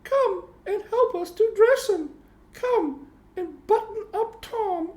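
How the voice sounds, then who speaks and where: A tiny, playful girl kitten from a storybook, put on by a man, very high and squeaky. She calls out eagerly in falsetto, with the echo of the room around her. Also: slow pace, darkish tone. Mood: calling for help. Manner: eager calling out. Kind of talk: children's storybook character voice.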